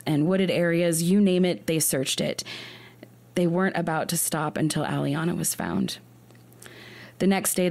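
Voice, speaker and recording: A woman's voice: sits low, a little mellow. A woman hosting a true crime podcast, telling a case in an earnest way, often restarting her sentences. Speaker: podcast host.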